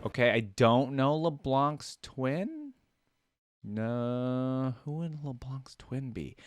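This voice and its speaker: Lively casual reaction commentator. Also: medium pitch, takes it slowly, no echo, clean studio sound, soft background music. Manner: informal, short interjections. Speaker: YouTube reaction host.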